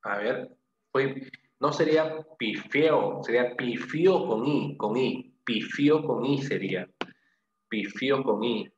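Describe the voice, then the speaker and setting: A man teaching an interactive language class, asking questions, repeating answers and confirming them with short praise and tag questions. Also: on the low side. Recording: echoey room, dull lo-fi sound, faint noise.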